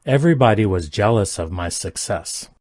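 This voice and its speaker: A man's voice: fairly low pitch, moderate pace, no room sound, studio quality. Man reading practice sentences twice. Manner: reads each sentence twice, careful and even. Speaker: English sentence reader. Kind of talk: slow repeated sentence reading for learners.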